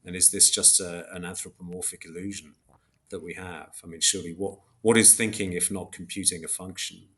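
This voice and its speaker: A man with a fairly low voice gives considered answers as an academic guest in an interview. He feels his way through each idea, often stopping to rephrase and qualify himself as he explains. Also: fairly even intonation, brisk, pushed and bright. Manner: hesitant, self-correcting, reflective academic explaining. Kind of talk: thoughtful interview discussion.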